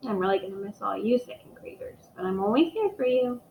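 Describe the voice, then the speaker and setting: A woman reading the part of a kind, tender first-grade teacher in a picture book, gentle and caring. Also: mid-range voice, animated, quick pace, gravelly, a little hiss or hum. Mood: tender, sad. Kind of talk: storybook character reading. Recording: echoey room, dull muffled microphone.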